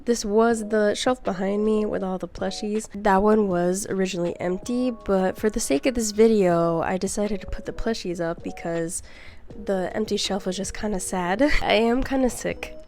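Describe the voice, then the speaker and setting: A woman's voice: medium pitch, normal speed, a little mellow. A young woman with a congested, stuffy voice from a cold, chatting in a rambling, giggly way close to the mic. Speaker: book vlogger. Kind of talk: casual chatty book haul.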